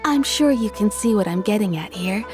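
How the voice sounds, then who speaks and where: A woman playing a cheerful, kindly townswoman in a video game, explaining things like an expressive storyteller. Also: medium pitch, normal speed, soft background music, close to an everyday voice. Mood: amused. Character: cheerful, kindly townswoman telling local anecdotes.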